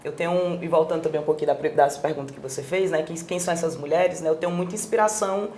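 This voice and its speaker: A woman from Piauí, a psychologist answering interview questions in a measured way. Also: sits low, a little mellow, slight background noise. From Piauí, Brazil. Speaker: guest, psychologist.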